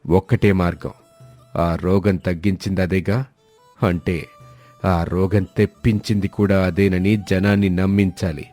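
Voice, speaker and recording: A man's voice: low-pitched, level tone, unhurried, dark tone, soft background music. A man voicing a scheming, power-hungry village landlord in a moral story, menacing and domineering.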